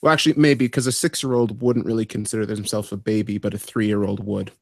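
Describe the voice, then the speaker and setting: A man in his twenties, a guest in a casual chat, making deadpan jokes. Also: lowish voice, fast talker, vocal fry, background noise. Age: 20s. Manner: joking, deadpan quips.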